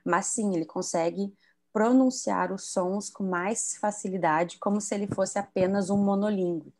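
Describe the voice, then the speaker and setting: Educator from southern Brazil, woman, didactic explainer, mid pitch, laptop mic on a video call. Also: normal speed, darkish tone. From southern Brazil (Rio Grande do Sul). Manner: didactic, explanatory, frequent tag questions. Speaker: bilingualism educator and author.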